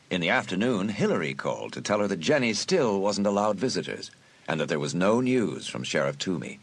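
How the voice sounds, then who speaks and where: Low-voiced man narrating with lively intonation. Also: breathy edge. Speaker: audiobook narrator. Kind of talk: scripted dramatic story narration.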